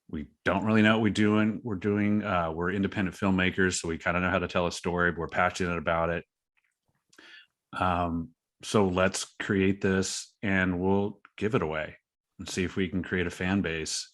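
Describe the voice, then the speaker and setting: A man's voice: deep voice, a bit bright. A man talking casually as a podcast guest, an indie creator with an earnest, self-deprecating way of explaining his work. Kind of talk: casual interview chat.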